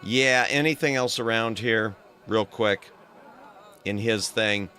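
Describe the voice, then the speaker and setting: A man's voice: on the low side, takes it slowly, pushed and bright, soft background music. A man livestreaming, tired and straining his throat, chatting casually in a playful, rambling way and humming to himself. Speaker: streamer.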